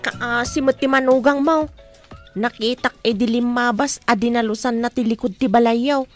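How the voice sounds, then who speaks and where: A woman playing a high-voiced, questioning relative. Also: fairly even intonation, moderate pace, soft background music, natural voice. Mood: concerned. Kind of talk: radio drama acting.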